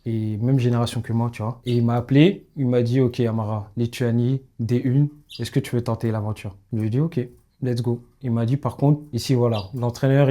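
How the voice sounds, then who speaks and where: A young man, a footballer, answering interview questions in relaxed, plain street talk. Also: medium pitch. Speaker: footballer guest. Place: outdoors in a neighbourhood.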